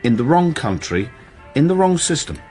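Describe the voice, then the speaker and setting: Wry ex-policeman, lively intonation. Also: a little high, moderate pace, soft background music. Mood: wry, playful. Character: wry, disillusioned male ex-policeman, playful with friends. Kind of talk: naturalistic radio drama acting.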